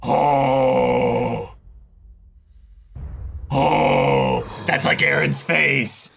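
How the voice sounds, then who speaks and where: A man's voice: medium pitch, extremely slow, vocal fry, fairly bright tone, husky. A man giving casual, exclamation-heavy live reaction commentary to an anime episode, swearing freely. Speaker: reaction show host. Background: show soundtrack music.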